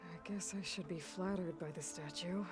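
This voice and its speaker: An actress voices a young warrior woman from a video game, resolute but weary. Her voice sits fairly low and she speaks in a reflective, understated way. Loud music plays over her. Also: steady tone, normal speed, close to an everyday voice. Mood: brooding.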